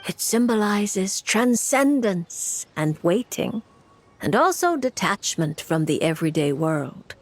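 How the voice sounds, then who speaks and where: A woman's voice: medium pitch, big pitch swings, slow pace. A woman voicing an aloof, weary witch fortune-teller in a video game, speaking in a dry, formal and cryptic way. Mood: mystical, musing.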